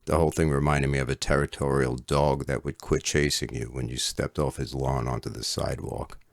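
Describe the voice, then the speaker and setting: A middle-aged man reading an autobiographical story as its author, in a measured, literate storytelling delivery. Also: very deep voice, flat delivery, gravelly, dry recording, studio quality. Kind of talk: scripted autobiographical narration.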